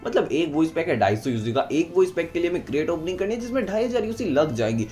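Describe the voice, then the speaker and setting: A man's voice: on the high side, very animated, fast talker, a little mellow. A young man doing a chatty, persuasive gaming tutorial voice-over, talking to viewers like buddies. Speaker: gaming video creator. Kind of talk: casual tutorial voice-over mixing Hindi and English. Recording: music behind the voice. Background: background music.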